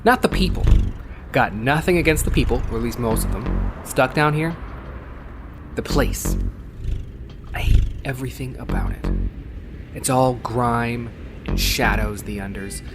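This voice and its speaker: A man's voice: medium pitch, very animated, a little slow, pauses often, noisy background. A man playing a jaded, wry cyberpunk courier, narrating a gritty first-person audio drama in a world-weary, sardonic manner. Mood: bitter, weary.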